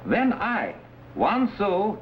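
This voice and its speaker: A man's voice: high voice, huge pitch swings, extremely slow, exaggerated voice. A man playing an ambitious, treacherous Chinese warlord, speaking grandiose, stilted English in an old adventure serial. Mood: imperious. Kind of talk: 1950s TV adventure serial acting. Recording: dull old broadcast recording with hiss.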